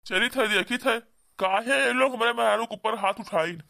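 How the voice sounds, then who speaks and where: A man's voice: very high-pitched, moderate pace, gravelly, sharp tone, a little hiss or hum, exaggerated voice. A man with an Awadhi accent playing an aggrieved village husband in a comedy cartoon, earnest, complaining and pleading. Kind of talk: amateur comedy cartoon dialogue.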